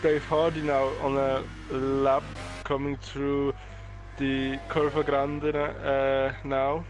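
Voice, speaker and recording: A man's voice: medium pitch, completely flat delivery, slow pace, poor audio. A man co-commentating a sim racing session, hesitant and full of fillers as he adds color remarks.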